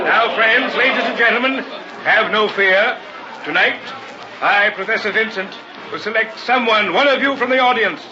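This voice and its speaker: An actor playing a slick, insistent carnival hypnotist professor with grandiose showman patter in an old-time radio drama. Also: sits high. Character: slick theatrical carnival hypnotist professor, male, coaxing a crowd. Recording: muffled vintage radio recording with music.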